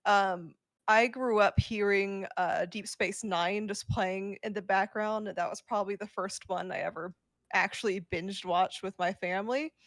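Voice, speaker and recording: A woman's voice: medium pitch, steady tone, normal speed, no echo. Woman chatting casually, close dry sound. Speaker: podcast panelist. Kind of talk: casual fan chat.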